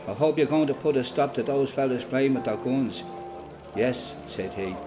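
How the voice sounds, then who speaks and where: A man's voice: mid-range voice, moderate pace, music in the background, close to an everyday voice. An actor playing an older Dublin father and former freedom fighter in a radio play, with an Irish accent and a folksy, colloquial way of talking. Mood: matter-of-fact reminiscing. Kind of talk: radio play acting, conversation and reminiscence.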